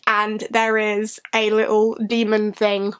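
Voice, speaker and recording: A woman's voice: medium pitch, monotone, takes it slowly, fairly bright tone, smooth. A woman with a slightly bunged-up voice from a cold, chatting casually and ramblingly to viewers as a book vlogger. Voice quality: slightly congested, bunged-up nose. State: getting over a cold.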